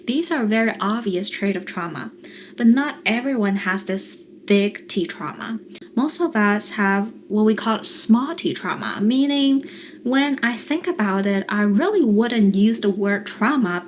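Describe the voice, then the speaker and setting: A young adult woman with a Mandarin Chinese accent, a therapist talking calmly and earnestly to camera, explaining things in a coaching style. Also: medium pitch, unhurried, a little hiss or hum. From China. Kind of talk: calm coaching monologue to camera.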